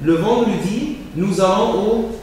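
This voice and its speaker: A man teaching a French lesson, explaining exercises slowly and hesitating with repeated words and fillers. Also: high voice, darkish tone, slight background noise. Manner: hesitant, repeats words and fills pauses with euh. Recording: echoey room, voice from far off.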